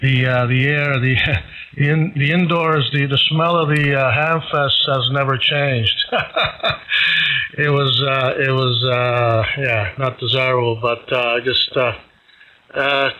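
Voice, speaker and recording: Man, middle-pitched voice, casual ham radio chat, hesitant, rambling, dull lo-fi sound. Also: steady tone, takes it slowly. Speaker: amateur radio operator hosting a live stream.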